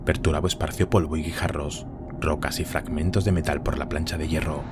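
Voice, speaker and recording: A man's voice: a bass voice, steady tone, brisk, over loud music. A man narrating a scripted war story in a dramatic audio drama style.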